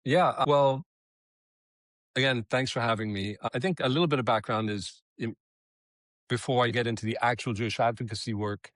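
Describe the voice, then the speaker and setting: A middle-aged man from Israel, a copywriter and advocate, telling his story in an interview in an earnest, steady way with frequent restarts. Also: mid-range voice, moderate pace, dry recording, clean studio sound. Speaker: guest, creative copywriter and advocate.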